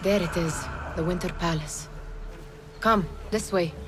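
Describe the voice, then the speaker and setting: A woman's voice: on the low side, measured pace, natural voice. An actress playing a skeptical, loyal young woman thief in a video game, with a dry, chiding, formal manner. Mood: dry, wry. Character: sharp-tongued, skeptical young female street thief, loyal companion. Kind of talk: dramatic video game voice acting. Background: music.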